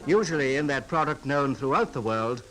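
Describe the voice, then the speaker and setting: A man's voice: medium pitch, lively intonation, a little fast, loud music behind the voice, poor audio. A British man announcing in formal, declamatory 1950s newsreel style, reading a script. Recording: 1950s archive newsreel soundtrack.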